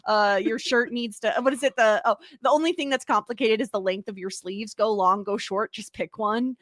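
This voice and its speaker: A woman in her thirties with an upstate New York accent, co-hosting a podcast in casual chat, bubbly, laughing often and saying "like" a lot, with a slight vocal fry. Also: fairly high pitch, fairly even intonation, moderate pace, a bit bright, no room sound. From United States. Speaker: podcast co-host.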